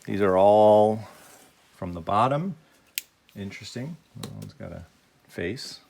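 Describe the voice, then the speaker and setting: A man with a cold, his voice deeper than usual, chatting casually as he unboxes toys and grades each one. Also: lively intonation, takes it slowly, gravelly, slight background noise. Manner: plain, chatty, grading each item out loud. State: has a cold. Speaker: toy unboxing vlogger.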